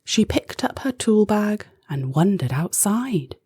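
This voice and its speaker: A woman narrating a rhyming children's storybook expressively, with deliberate, dramatic phrasing. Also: mid-range voice, very animated, dark tone, breathy edge, dry recording.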